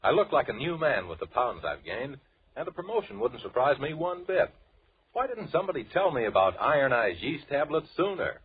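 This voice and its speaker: An actor playing a peppy, boastful satisfied customer in a vintage radio commercial. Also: male voice, mid-range voice, big pitch swings, brisk, close to an everyday voice. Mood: boastful, enthusiastic. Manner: emphatic, bouncy sales testimonial. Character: peppy male testimonial customer, boastfully revitalized worker. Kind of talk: 1940s radio commercial acting. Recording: narrow, noisy old radio recording.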